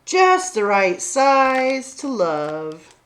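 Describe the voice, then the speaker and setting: A woman's voice: high voice, very animated, drawn-out words, fairly bright tone. A woman hosting a children's story time, talking and reading aloud expressively to young listeners.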